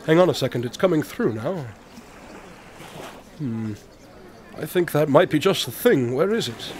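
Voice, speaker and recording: A man's voice: fairly high pitch, expressive, measured pace, slight background noise. A man playing an eccentric, observant detective in an audio drama, speaking in a precise, formal, absent-minded way. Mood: composed.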